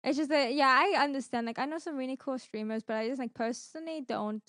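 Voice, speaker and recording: High, clear-voiced woman chatting casually. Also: fast, no room sound, clean studio sound. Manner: rambling, animated retelling with acted-out quotes. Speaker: podcast co-host. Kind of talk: casual chat about gaming streams and giveaways.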